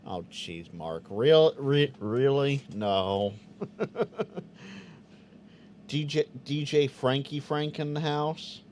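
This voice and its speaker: Male streamer, easygoing chat, animated pitch, stammery restarts, faint room ambience. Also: slow, a little hiss or hum. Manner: chatty, stammers on restarts, sounds out Morse code. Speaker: host of a live Q&A stream. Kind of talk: casual live-stream chat reading viewer comments.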